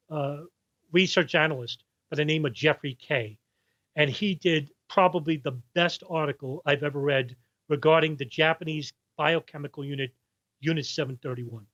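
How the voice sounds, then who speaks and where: A man's voice: fairly high pitch, a bit bright. New York man on a dull line. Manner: rambling, restarts while recalling names. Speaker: guest researcher. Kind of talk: podcast interview.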